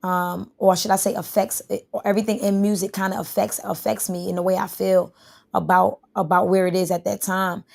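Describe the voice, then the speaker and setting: Gravelly, flat-voiced New woman rapper. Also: medium pitch, brisk. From New York. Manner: rambling, relaxed, many fillers. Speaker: rapper being interviewed. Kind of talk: interview answers.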